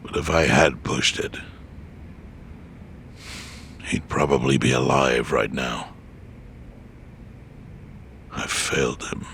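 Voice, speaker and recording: An elderly yakuza chairman in a game cutscene. The voice is extremely deep and full of gravel. He speaks with weary gravity, deliberate and composed. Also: a bit bright, a little hiss or hum, exaggerated voice. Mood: somber, reflective. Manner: measured, solemn, deliberate. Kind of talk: dramatic game voice acting.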